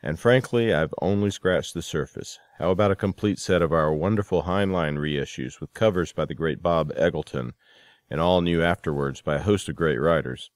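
A man with a notably low voice speaks as a podcast host. He delivers announcements and introductions in a presenter's style. The sound is dry, with hardly any room on it. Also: normal speed, dry recording. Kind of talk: scripted promotional reading and podcast introductions.

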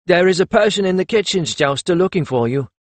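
Man doing a prim, haughty palace servant, raised pitch. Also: expressive, brisk, no echo. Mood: sniffy, puzzled.

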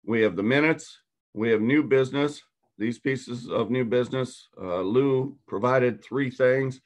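A man runs an advisory council meeting over a video call, his voice sitting at an ordinary middle pitch. He steps plainly through each agenda item, asking for motions and roll calls. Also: a little slow. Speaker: meeting chair.

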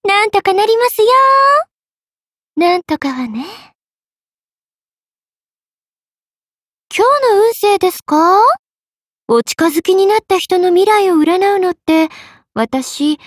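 A woman's voice: very high-pitched, lively intonation, measured pace, dry recording, studio quality. A woman voicing a playful, money-loving young half-spirit merchant sorceress in an anime game, with a teasing, polite lilt. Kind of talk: Japanese anime game character voice acting.

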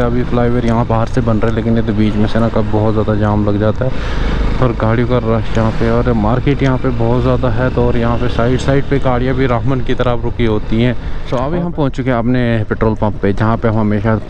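A man's voice: medium pitch, highs cut off. A young man chats casually and colloquially, repeating himself, as he vlogs outdoors from a motorbike. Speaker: motovlogger. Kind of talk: casual spoken vlog while riding a motorbike. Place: outdoors on a moving motorbike on the road. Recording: noisy outdoor ride with vehicle noise.

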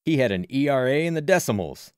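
Man doing a loud, burly small-town sports fan. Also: medium pitch, sing-song, fast talker, clear, resonant tone, no echo, studio quality, natural voice. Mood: chummy, opinionated. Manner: loud, punchy, colloquial. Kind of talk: audiobook character voice.

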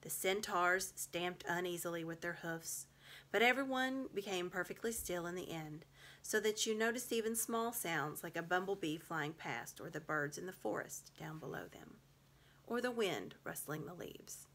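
A woman in her thirties reads a story aloud. Her voice is bright and slightly low, with expressive narration, recorded at home on a device in front of her. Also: moderate pace. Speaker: storybook reader. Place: small room at home.

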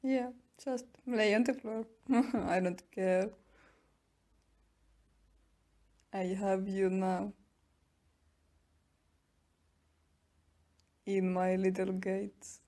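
A woman playing a smug, taunting tsundere captor in an ASMR roleplay, mocking and teasing with drawn-out pauses. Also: medium pitch, fairly even intonation, warm tone. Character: smug, taunting tsundere young woman keeping a captive werewolf.

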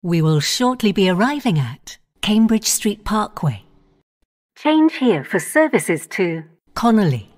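Female train announcer, unhurried, sing-song intonation, clipped automated phrasing. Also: mid-range voice, sing-song, a little mellow. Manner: measured, clipped station-by-station phrasing.

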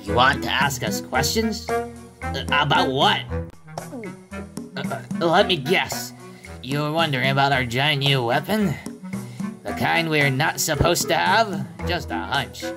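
A man's voice: fairly high pitch, animated, a little slow, pauses often. A man voicing an anxious, scheming pig workman in a cartoon comic dub. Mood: nervous. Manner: stammering, anxious. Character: nervous, scheming pig workman building gifts. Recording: loud music bed over voice. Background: loud music.

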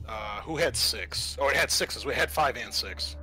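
Guy game mastering a tabletop rpg, bright edgy voice, casual halting narration. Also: mid-range voice, animated, poor audio. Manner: hesitant, improvised, informal descriptions.

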